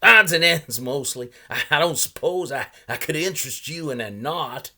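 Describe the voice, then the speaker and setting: Man voicing a whimsical old salesman, pitched high. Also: lively intonation, bright, forceful tone, exaggerated voice. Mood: genial. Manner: musing, folksy, halting asides. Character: odd, genial old salesman in a gray suit, whimsical.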